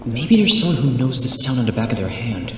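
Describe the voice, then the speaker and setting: Polite young hero played by a man, medium pitch. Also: very animated, a little fast, background noise, lightly acted. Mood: reassuring. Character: calm, reassuring young man, hero of martial arts drama. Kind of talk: fan audio drama acting.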